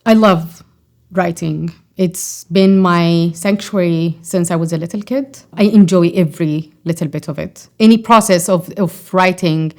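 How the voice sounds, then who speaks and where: A woman's voice: on the low side, a little mellow. A woman in her forties from Yemen, speaking English as a second language with an Arabic accent, answering interview questions thoughtfully with frequent fillers and restarts. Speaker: poet and scholar.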